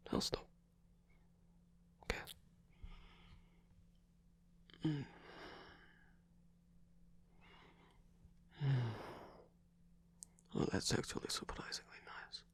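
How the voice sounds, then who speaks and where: A man playing a flirtatious, theatrical rock singer in an intimate ASMR romance roleplay, teasing and murmuring with breathy, husky, hesitant speech. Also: a little high, normal speed, long pauses. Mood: relaxed, drowsy. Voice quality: breathy, husky, often whispered. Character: flirtatious theatrical male rock singer, tired, playfully indulgent lover.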